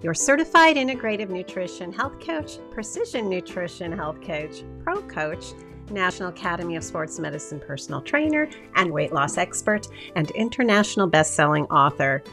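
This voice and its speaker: Woman, podcast host, upbeat, steady listing cadence, faint music behind. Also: lowish voice, moderate pace. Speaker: podcast host and health coach. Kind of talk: scripted podcast intro, then casual interview.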